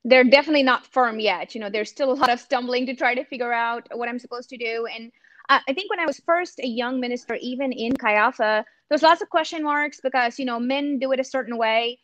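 High-voiced woman with an Indian accent. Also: fairly quick, fairly bright tone, dry recording. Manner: earnest, thoughtful, frequent restarts. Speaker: campus ministry missionary. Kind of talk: reflective panel talk. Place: home room. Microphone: laptop webcam on a video call.

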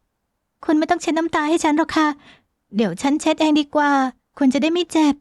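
A woman voicing a tearful, tender young wife in a romance audiobook, speaking in a soft, pleading way. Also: high voice, fairly quick, no room sound, studio quality, pitched above the performer's own voice, close to an everyday voice.

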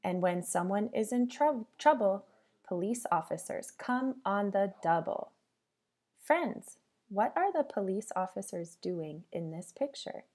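A woman teacher reading a storybook aloud to young children, with a sing-song delivery, pausing to put questions to them. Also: mid-range voice, animated, darkish tone.

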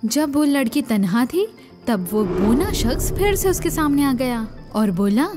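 Casting: female storyteller, fairly high pitch, scripted children's fairy-tale narration over quiet music. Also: animated.

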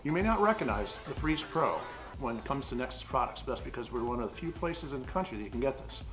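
A man's voice: medium pitch, animated, fast, fairly bright tone, faint music behind. Man methodically listing gear specs. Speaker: store staff presenting gear. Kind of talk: product rundown. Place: hockey gear store, indoors.

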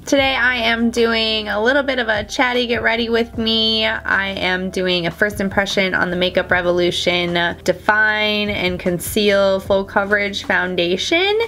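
A woman's voice: mid-range voice, measured pace, hardly any pauses, bright, forceful tone, slight background noise. A woman in her thirties with a stuffy, nasal cold voice, chatting upbeat while doing her eye makeup on camera. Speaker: beauty vlogger. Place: small indoor room before a plain backdrop.

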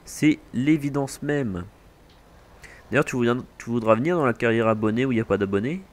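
A man's voice: mid-range voice, fairly quick. A man from France hosting a co-op farming game session, chatting casually and joking with his co-player. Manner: relaxed, joking banter. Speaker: gaming channel host. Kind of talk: casual co-op gameplay chat.

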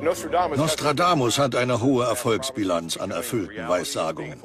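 A man's voice: mid-range voice, normal speed, over music, close to an everyday voice. A man explaining as an expert in a dubbed documentary. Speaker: prophecy expert.

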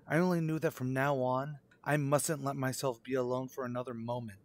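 A man's voice: medium pitch, steady tone, brisk, natural voice. A male actor plays a motorist haunted on the open road in an old-time suspense radio play. His mid-range voice is intense and dramatic. He grows obsessive and stumbles over words when rattled. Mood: haunted, brooding. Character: haunted cross-country motorist, man, anxious, increasingly obsessive. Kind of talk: old-time radio suspense drama acting, first-person narration and dialogue.

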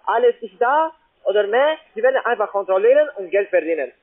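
A man with a foreign accent, not a native German speaker, making a halting, repetitive, insistent telephone sales pitch. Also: animated. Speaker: call-centre sales caller. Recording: muffled, narrow phone line.

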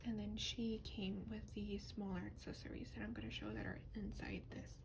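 A woman speaking softly in a breathy, often whispered voice, gently and hesitantly showing items in a rambling ASMR style. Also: medium pitch, very animated, moderate pace. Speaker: ASMR doll reviewer. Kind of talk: soft-spoken ASMR, rambling show and tell. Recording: clearly noisy lo-fi home recording.

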